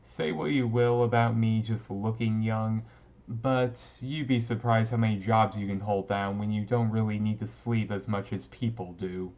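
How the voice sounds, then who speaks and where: A man playing a shy, young-looking goth vampire classmate in a romantic roleplay, speaking hesitantly and casually to the listener with gentle teasing. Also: lowish voice, fairly even intonation, moderate pace, muffled recording, natural voice. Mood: touched, bashful. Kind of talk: romantic roleplay audio drama, talking to listener.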